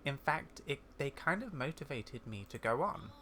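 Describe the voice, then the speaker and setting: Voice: mid-range voice, expressive, normal speed, pushed and bright, slight background noise. A gamer voicing an earnest, curious young heroine in video game dialogue read aloud.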